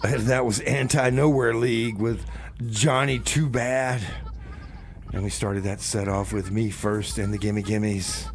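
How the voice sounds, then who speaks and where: A man's voice: lowish voice, fairly even intonation, a little slow. A man hosting a community radio music show in a casual, informal way, with bits of comic banter. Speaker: radio host. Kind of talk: music show presenting with improvised comedy banter.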